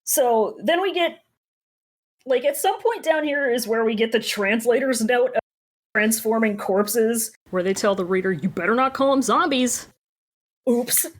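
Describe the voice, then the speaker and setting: A woman's voice: a little high, measured pace. A woman co-hosting a podcast, chatting casually through a story recap in an irreverent, slangy way with some swearing. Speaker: podcast co-host. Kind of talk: casual book recap chat.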